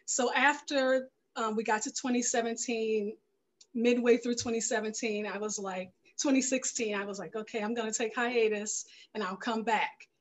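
Higher-voiced middle-aged woman telling her story. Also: steady tone, moderate pace, poor audio. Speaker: guest filmmaker. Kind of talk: casual storytelling in an interview. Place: indoor room at home, video call. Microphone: headset on a video call.

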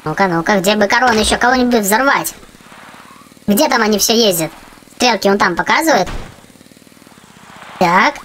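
A woman hosting a gaming channel for children, giving chatty, excitable running commentary on her play. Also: mid-range voice, normal speed, pauses often, slight background noise. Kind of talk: casual game commentary for children. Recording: game music behind the voice. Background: video game music.

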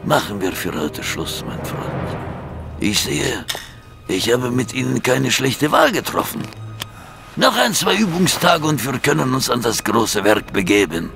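Halting mad surgeon, swooping and gravelly. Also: male voice, mid-range voice, very animated, music in the background. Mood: instructing, muttering. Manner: theatrical, halting, repeats his own phrases. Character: grandiose, obsessive mad surgeon, soft-spoken and menacing. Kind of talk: German audio drama acting.

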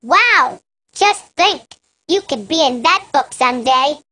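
A woman's voice: high voice, expressive, slow, bright, forceful tone, exaggerated voice. A woman voicing a confident, bossy young girl animal in a children's learning game. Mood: encouraging. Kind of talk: children's educational game acting.

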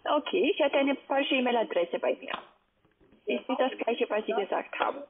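A woman call centre agent, not a native speaker, giving polite, halting instructions over the phone. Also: on the high side, noisy background. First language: not German. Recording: rough narrowband phone line.

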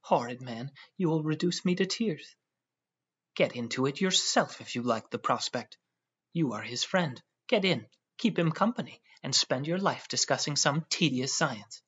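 A man's voice: a little high, animated, normal speed, a bit bright, breathy edge, lo-fi sound. A man voicing a petulant, flighty young wife, raising his voice into a fretful, whiny delivery.

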